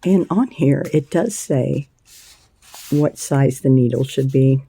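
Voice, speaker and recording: A woman with a scratchy voice hosting a casual crochet tutorial, chatty and unhurried, with plenty of ums and uhs. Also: very deep voice, creaky, warm tone, husky, no room sound. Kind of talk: casual hands-on craft tutorial.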